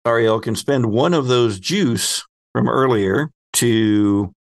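A man hosting a tabletop roleplaying game as game master, narrating scenes deliberately and pausing mid-sentence as he improvises. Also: mid-range voice, creaky, no echo, studio quality.

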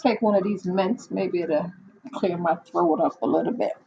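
A middle-aged woman hosting a casual livestream chat, rambling and chatty with lots of fillers and laughs, a little hoarse as she loses her voice. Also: on the low side, moderate pace, mellow, highs cut off. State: losing her voice, slightly hoarse. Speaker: livestream host. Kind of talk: casual chat with live viewers.

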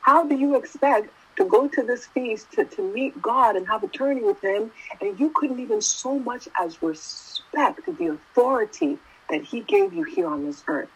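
A woman's voice: on the high side, expressive, normal speed, few pauses, breathy edge, low-quality recording. A woman in her twenties giving a casual sermon, talking conversationally and often asking "right?". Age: 20s. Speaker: youth preacher.

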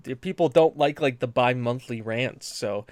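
A man from New England rambling through a casual gaming podcast, given to long, jokey rants. His voice sits at a middle pitch. It is recorded close and dry. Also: normal speed, dry recording. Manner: rambling, rant-prone, joking. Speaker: podcast co-host. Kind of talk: casual chat.

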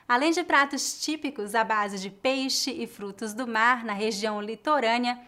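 Brazilian woman teaching, são paulo accent, slightly high voice, calm and clear. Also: moderate pace. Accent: São Paulo Portuguese. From Northeast Brazil. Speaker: language teacher. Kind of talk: scripted educational narration.

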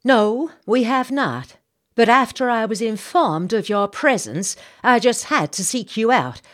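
A woman's voice: fairly high pitch, expressive, a little slow, voice pushed up. A woman voicing a petite, genteel and insistent society lady, a talkative senator's wife, in audiobook dialogue. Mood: polite, insistent.

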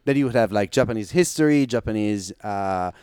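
Relaxed Italian man, smooth voice. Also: fairly low pitch, brisk, no room sound, clean studio sound. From Italy. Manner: relaxed storytelling, frequent "like" fillers, laughs easily. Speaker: podcast guest. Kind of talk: casual chat.

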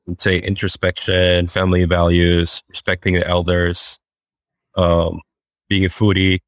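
Deep flat-voiced man, dry sound. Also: no echo. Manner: halting, many fillers and restarts. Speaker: guest, startup founder. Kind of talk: remote interview. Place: Fort Worth, Texas.

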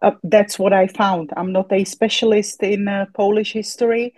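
Hesitant woman with a mellow voice. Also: medium pitch, level tone, moderate pace, no room sound. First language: Czech. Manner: hesitant, many fillers. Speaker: podcast guest researcher. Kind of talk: casual interview chat.

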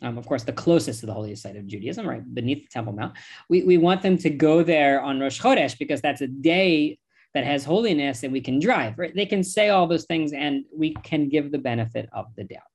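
A man's voice: on the high side, expressive, fairly quick, breathy edge. Emphatic American-accented man, rabbi, interview guest. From United States. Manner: impassioned, emphatic.

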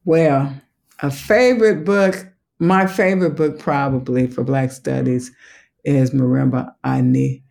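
Gravelly Chicago man with a Southern twang. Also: mid-range voice, fairly even intonation, unhurried, a little mellow. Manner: thoughtful, enthusiastic, laughs easily. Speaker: museum education director, guest. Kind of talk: conversational interview.

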